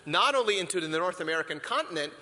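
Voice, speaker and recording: A man's voice: high-pitched, lively intonation, fast, sharp tone. A man from the Philippines preaching a conversational sermon in a church hall, reflective and full of rhetorical questions and restarts. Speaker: pastor. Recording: long hall reverb.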